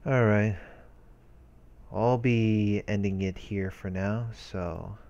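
A man reading visual novel narration aloud as a let's play host, in a measured manner with brief casual commentary. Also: fairly low pitch, steady tone, vocal fry, a little mellow, dull audio. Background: game music.

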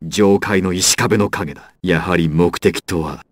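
A man's voice: low-pitched, unhurried, no room sound. A man playing a proud, ruthless crime boss villain in an anime fighting game, with an imperious, menacing and theatrical delivery. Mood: grave, commanding.

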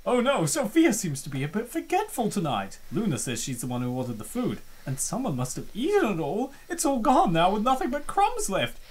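A woman giving excitable, theatrical, joking reaction commentary as narrator of a comic dub. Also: medium pitch, fairly quick, hardly any pauses, breathy edge.

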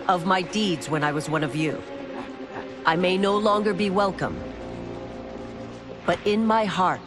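A resolute guard captain. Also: female voice, fairly low pitch. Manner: earnest, resolute. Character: loyal determined female guard captain.

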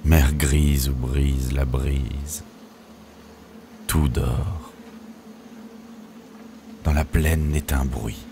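A man gives a solemn, measured and theatrical recitation of dramatic poetry. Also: a bass voice, steady tone, background noise. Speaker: poetry reader.

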